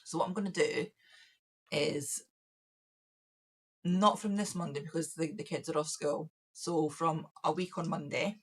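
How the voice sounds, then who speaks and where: A woman with a croaky voice, talking through her plans for her craft business in a rambling, self-correcting way. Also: low voice, fairly even intonation, a little slow, long pauses. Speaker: craft business owner and YouTuber. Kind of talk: casual vlog planning.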